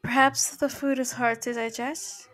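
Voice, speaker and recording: A woman's voice: on the high side, flat delivery, measured pace, a bit bright, no echo. A woman voicing a playful, doting goddess sorceress in an ASMR roleplay, cooing and teasing affectionately.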